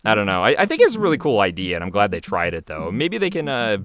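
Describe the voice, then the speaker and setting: A man with a fairly low voice gives an off-the-cuff creator commentary. He rambles hesitantly and plays down his own work. Other voices from the playing episode are clearly heard behind him. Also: wildly swooping pitch, fast, a crowd in the background. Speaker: creator and voice actor giving commentary. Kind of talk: casual, unscripted commentary on own animation.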